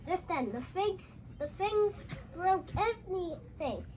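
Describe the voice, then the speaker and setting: A child host retelling a storybook aloud, halting and repeating phrases, with exclaimed dialogue. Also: extremely high pitch, animated, noisy background.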